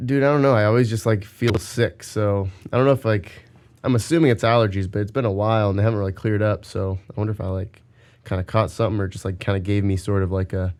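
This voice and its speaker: A man's voice: lowish voice, steady tone, quick pace, darkish tone. A young man co-hosting a podcast in casual, crude, joking chat, drowsy and with a sore throat, on a desk mic with a pop filter. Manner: crude, joking, swears a lot. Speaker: podcast co-host.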